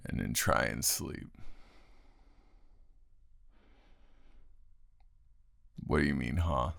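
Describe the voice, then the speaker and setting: Man playing a very deep, gravelly, grumpy roommate. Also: fairly bright tone, no room sound, exaggerated voice. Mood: weary, reluctant. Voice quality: very deep, gravelly, close and dry. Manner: halting, exasperated, hesitant. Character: grumpy, tired young male roommate, secretly smitten. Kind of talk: improvised intimate audio roleplay.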